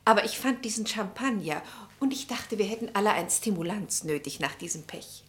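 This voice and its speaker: A woman's voice: medium pitch, expressive, a little fast, fairly bright tone, close to an everyday voice. An actress in a German radio drama playing a meddling older society lady, chatty, theatrical and commanding. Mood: playful.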